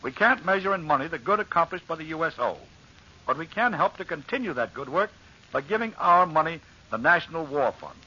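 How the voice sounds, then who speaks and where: An earnest man delivers a scripted wartime-era radio appeal. His voice is fairly high, husky and lively in pitch, with formal phrasing. The recording is muffled vintage radio. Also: brisk. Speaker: radio director making a charity appeal. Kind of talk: scripted 1940s public-service appeal.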